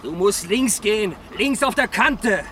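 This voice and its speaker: An actor playing a brash, angry driver who scolds forcefully in a radio play. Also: male voice, high voice, lively intonation, a little slow, slight background noise, exaggerated voice. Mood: outraged. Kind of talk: German radio play acting.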